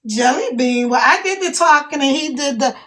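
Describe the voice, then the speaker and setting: An older woman with a scratchy, hoarse voice, chatting folksily to viewers of her phone live stream. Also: sits high, expressive, moderate pace, fairly bright tone. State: hoarse after two hours of talking. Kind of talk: casual live-stream chat.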